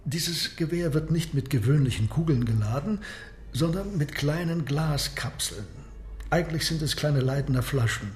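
An actor playing a reserved, proud submarine captain and inventor in a radio play, explaining in a measured way. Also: male voice, medium pitch, a little mellow, lightly acted. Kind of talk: radio drama dialogue. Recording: roomy, echoing interior sound.

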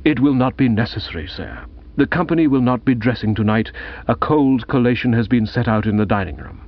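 A discreet English manservant, voiced by a male narrator, composed and deferential. He speaks in a measured, formal way suited to a comic audiobook. The recording is a touch dull. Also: medium pitch, animated, close to an everyday voice.

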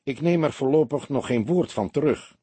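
A man's voice: mid-range voice, moderate pace, dark tone, husky, dry recording, pitched above the performer's own voice, lightly acted. A man reading an audiobook as a heavyset, unflappable police detective with a teasing, mock-sincere manner. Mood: blunt.

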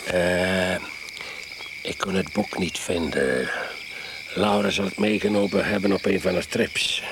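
An actor in a radio play playing an overbearing, eccentric older husband who is pushily hospitable and faintly menacing. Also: male voice, low-pitched, steady tone, measured pace. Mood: capricious, insistent. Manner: domineering, capricious, interrupting. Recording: noisy radio play recording.

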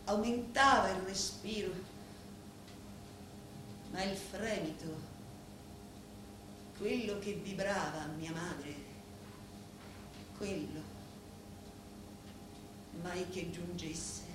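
Bitter Sicilian wife, echoing stage. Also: female voice, fairly low pitch. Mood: brooding, sensual confession. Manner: scornful, deliberate, theatrical. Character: bitter, taunting, sensual wife of a neighbourhood mob boss. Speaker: stage actress. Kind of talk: theatrical dramatic monologue. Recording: very echoey stage hall, faint noise.

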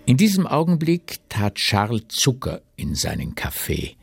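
A man's voice: mid-range voice, huge pitch swings, unhurried, creaky, husky. A man narrating a literary radio drama.

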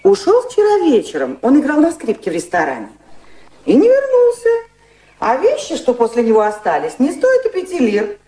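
An actress playing a talkative, anxious, deferential maid in a radio drama. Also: female voice, high voice, wildly swooping pitch, moderate pace, dark tone. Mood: gossipy. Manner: deferential, chatty.

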